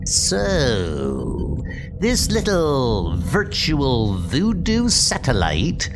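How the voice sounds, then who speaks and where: A man voicing a gleefully cruel clown villain in a comic book dub, with a theatrical, drawn-out delivery. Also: medium pitch, huge pitch swings, music in the background, exaggerated voice. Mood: sly glee.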